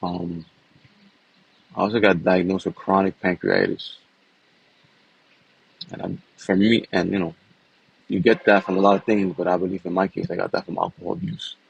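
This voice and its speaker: A man's voice: low voice, level tone, moderate pace, creaky, pauses often, breathy edge, rough recording. A man, talking in a loose, casual way and often tagging his sentences with "man" and "you know.". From New York City. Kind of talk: casual personal vlog talk.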